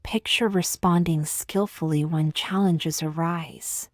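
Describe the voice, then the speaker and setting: A woman leading a scripted guided meditation in a soothing, gentle, measured way, with long pauses and soft, breathy phrase endings. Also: low voice, fairly even intonation, dry recording, studio quality.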